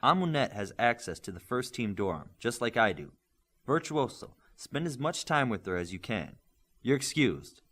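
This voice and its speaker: A man voicing a brisk, authoritative male combat coach in an audiobook, to the point. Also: mid-range voice, sing-song, a bit bright. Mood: commanding.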